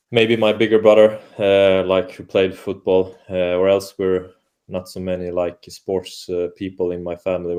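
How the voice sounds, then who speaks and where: A man's voice: deep voice, mellow. A man from Finland whose first language is Swedish, a football coach answering interview questions in a hesitant way, with many fillers and restarts. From Åland, Finland. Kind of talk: casual interview conversation.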